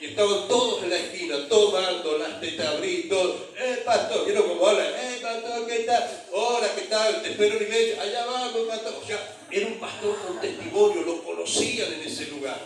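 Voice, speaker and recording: Argentine male pastor, high-pitched, preaching from afar in a very echoey church hall. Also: normal speed, few pauses, distant mic. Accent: Argentine Spanish. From Argentina (San Juan). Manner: storytelling with call-and-response to the congregation. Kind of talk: sermon.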